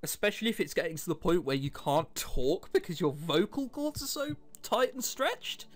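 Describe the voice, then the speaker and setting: Guy doing a visual novel lets play, highish voice that swings around a lot, wry little comments, music behind. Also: expressive, normal speed. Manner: wry, chatty asides between read lines. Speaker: let's play host. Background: background music.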